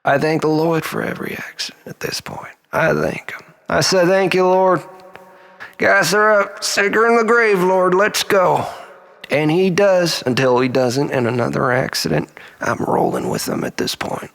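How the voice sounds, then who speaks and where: A man delivering a dramatic, confessional spoken-word monologue in a brooding, theatrical manner, often dropping toward breathy near-whispers, with a creaky voice. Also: on the high side, moderate pace, a bit bright. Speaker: storyteller, monologist.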